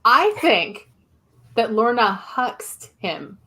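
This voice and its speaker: A woman's voice: a little high, big pitch swings, extremely slow, fairly bright tone. A woman giving her opinions in casual conversation as a guest reviewer on a podcast.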